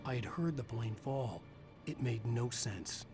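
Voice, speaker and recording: An actor playing a brooding, haunted writer who narrates his ordeal gravely in a thriller video game. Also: medium pitch, a little slow, over music, natural voice. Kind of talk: scripted first-person thriller narration.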